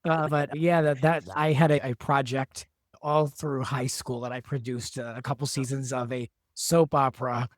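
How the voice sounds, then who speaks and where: A man speaks at a middle pitch in a very dry recording. He talks as a writer and producer in a casual behind-the-scenes discussion, explaining things in a conversational way. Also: little pitch movement, moderate pace, dry recording. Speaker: soap opera writer and producer, guest.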